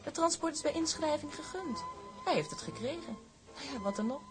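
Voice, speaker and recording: An actress playing a probing, insistent young fiancée in a radio drama. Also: female voice, high-pitched, animated, fairly quick. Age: young adult. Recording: music behind the dialogue.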